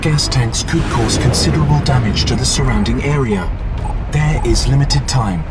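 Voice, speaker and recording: A man voices a courteous AI assistant in a game cutscene. He speaks at a middling pitch in formal, measured, deferential phrases, under loud music. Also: close to an everyday voice. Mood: calm alert. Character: polite, composed male AI butler assistant. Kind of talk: video game cutscene acting.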